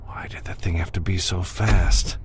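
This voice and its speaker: A man voicing a gruff, steady spaceship pilot with a terse, wry manner in an audiobook. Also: low voice, normal speed, slight background noise, close to an everyday voice. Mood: wry, grumbling. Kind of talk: audiobook character dialogue.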